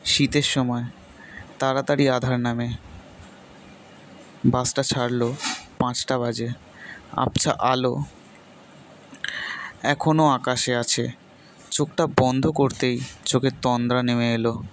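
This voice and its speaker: A man narrating a ghost story in steady storytelling manner. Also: mid-range voice, slow, pauses often, slight background noise.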